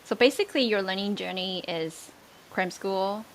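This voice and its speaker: A woman whose first language is Mandarin interviews a guest at a middle pitch. She sounds enthusiastic and encouraging, and faint hiss runs under the recording. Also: low-quality recording. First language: Mandarin Chinese. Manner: enthusiastic, encouraging, quick reactions. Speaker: podcast co-host and interviewer.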